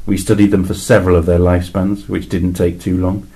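A man's voice: low voice, level tone, fairly quick, creaky, warm tone, husky, full character voice. A man voicing a weary male alien envoy, explaining in a dry, exasperated way with sudden emphatic rises in a two-voice science fiction story reading.

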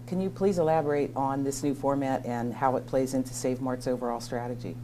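A woman's voice: rumbling low, steady tone, brisk, warm tone, a little hiss or hum. A middle-aged woman hosting a studio business interview, asking polished, brief questions. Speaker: interview host.

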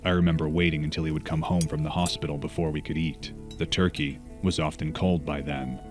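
Man playing comic-book vigilante, very low pitch, grave, measured, brooding monologue. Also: steady tone, music in the background. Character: brooding grim masked vigilante detective narrating his thoughts.

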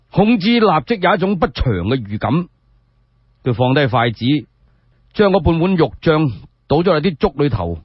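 A man narrates a historical story solo, in a colloquial, expressive radio-drama style. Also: on the high side, breathy edge, no room sound. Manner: colloquial, expressive, with frequent spoken particles. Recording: dry, dull lo-fi radio-style recording.

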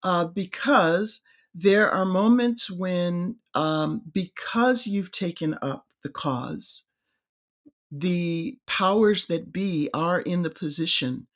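A middle-aged woman, a seminary professor, who speaks in a halting way and searches for her words during a casual theological discussion on a video call from her home office. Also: fairly low pitch.

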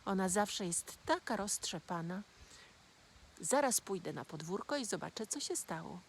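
A woman playing Father Year, a stern but gentle old man speaking low and measured. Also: very animated, slight background noise. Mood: calm.

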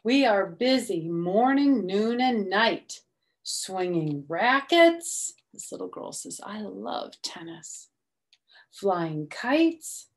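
A middle-aged woman reads a children's picture book aloud slowly and expressively, adding chatty, simple explanations for young children. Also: mid-range voice, big pitch swings. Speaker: storytime reader. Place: small room at home, indoors.

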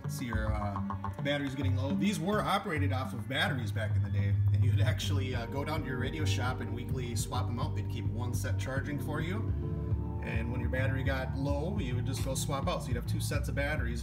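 A man giving a casual, unscripted show-and-tell of a hobby project, thinking aloud as he goes. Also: mid-range voice. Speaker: hobbyist maker presenting a project. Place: indoor room, workshop or office. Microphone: handheld phone or camera, operator behind it. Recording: music playing loudly over the voice. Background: radio music.

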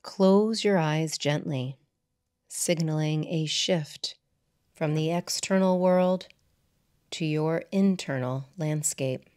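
A woman with a notably low voice narrates a guided meditation. Her delivery is very slow and measured, broken into short phrases with deliberate pauses. She sounds like a soothing yoga teacher settling listeners into rest. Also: a little mellow. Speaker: yoga nidra teacher and channel host.

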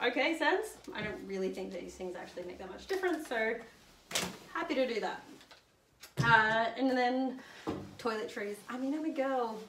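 A woman's voice: on the high side, a bit bright. A young woman chatting casually through her hiking gear at home, with many ums, standing back from the camera in her living room. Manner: chatty, upbeat, many "um"s, holds up items while talking. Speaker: hiker presenting her gear list. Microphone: camera standing a few metres away. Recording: roomy, distant camera mic, faint hiss.

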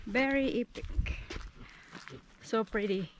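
A woman's voice: on the high side, slow pace, a little hiss or hum. A woman from the Philippines with a Filipino accent, chatting casually on a hiking vlog and admiring the view with short repeated exclamations, recorded outdoors on a phone. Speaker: vlogger. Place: outdoors on a canyon trail.

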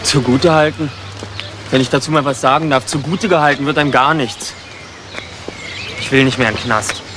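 An actor playing a nervous young homeless burglar in a crime drama, speaking in an agitated, halting, defensive way. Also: male voice, medium pitch, background noise, close to an everyday voice. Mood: bitter, defensive. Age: young adult. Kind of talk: German TV crime drama acting.

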